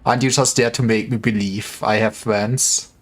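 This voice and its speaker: A man's voice: lowish voice, fairly even intonation, normal speed. A man livestreaming games, chatting casually in a joking, rambling way with some stammering. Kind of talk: casual gaming livestream chat.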